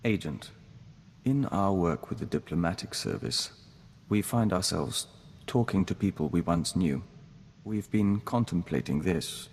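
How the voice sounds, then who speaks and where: An actor playing a gentle, earnest man joined to an insect hive mind, measured and formal in scripted game dialogue. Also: low-pitched, lively intonation. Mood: reflective. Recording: noisy game capture with long reverb.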